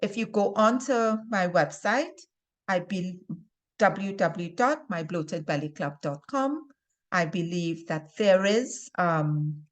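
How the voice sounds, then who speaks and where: A woman with a Trinidadian accent speaks at a slow pace in a slightly low voice. She presents health advice informally, straight to her viewers, in a deliberate way that leans on key words for emphasis. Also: expressive. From Trinidad and Tobago. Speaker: health and wellness video presenter. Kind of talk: informal instructional talk to viewers.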